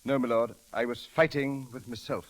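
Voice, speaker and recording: An actor playing a proper, deferential English manservant in an old radio comedy, earnest and hesitant, stammering when flustered. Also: male voice, mid-range voice, steady tone, normal speed, close to an everyday voice. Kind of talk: 1930s-40s radio comedy acting. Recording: old radio broadcast with sizzling crackle.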